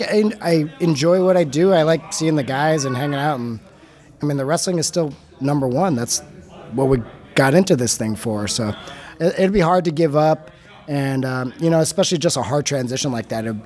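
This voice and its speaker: A man in his thirties, a wrestler turned coach, answering an interview in a hesitant, halting way. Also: on the high side, a little mellow. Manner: hesitant, halting, many restarts.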